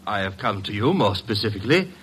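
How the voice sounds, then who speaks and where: A man's voice: fairly low pitch, big pitch swings, fast talker, fairly bright tone, husky, exaggerated voice. A man playing a self-important, easily flustered stage actor in an old-time radio drama, with affected, florid delivery. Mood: confiding, dramatic. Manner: affected, florid, hesitant under pressure. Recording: noisy old radio recording.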